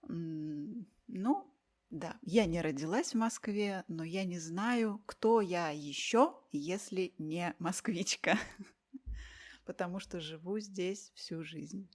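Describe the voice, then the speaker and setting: Woman, from Russia, interview guest, low-ish voice, very slow pace, thoughtful pauses, clear learner-friendly speech. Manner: unhurried, with many thoughtful pauses and soft fillers.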